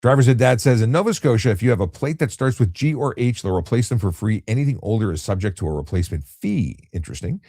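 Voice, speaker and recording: A middle-aged man with a low voice, calmly explaining things in a relaxed late-night live chat as he reads out viewer questions. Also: fast talker, hardly any pauses, dry recording, clean studio sound. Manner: calm, explanatory, many restarts and fillers. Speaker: retired traffic police officer, live-stream host.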